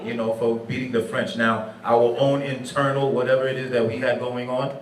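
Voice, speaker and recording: A man's voice: mid-range voice, level tone, moderate pace. A man, a teacher and nonprofit program director, answering panel questions in a thoughtful way and slipping in Creole and French phrases. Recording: echoey room from far off, faint background noise.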